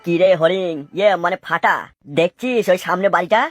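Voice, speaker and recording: A woman's voice: lowish voice, fairly quick, close to an everyday voice. A woman voicing a bossy, crude, scheming male mason in a comic cartoon. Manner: crude, nagging, commanding.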